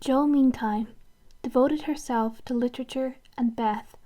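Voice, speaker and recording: A woman's voice: fairly high pitch, mellow. A woman narrating a scripted literary story for an audio drama.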